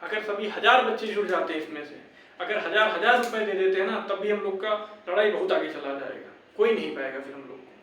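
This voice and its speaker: High-pitched young man in a very echoey room. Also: fast talker, a bit bright. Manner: insistent, repetitive, addresses viewers as brothers. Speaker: YouTube exam-update presenter. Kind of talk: informal advice and rallying talk to viewers. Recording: very echoey room, distant microphone.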